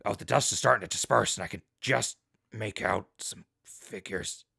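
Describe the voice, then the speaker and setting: An actor playing a folksy, upbeat radio host and newsreader in a post-apocalyptic settlement drama, chatty and theatrical. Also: male voice, lowish voice, normal speed, vocal fry, sharp tone, husky, exaggerated voice. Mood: anxious, tense. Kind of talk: radio drama news broadcast.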